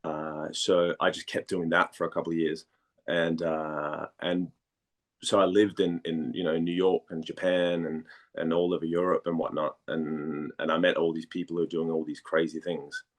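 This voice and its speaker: Male interview guest from Denmark, deep register, informal and hesitant, conversational delivery. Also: level tone, normal speed. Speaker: interview guest, voice actor and former model.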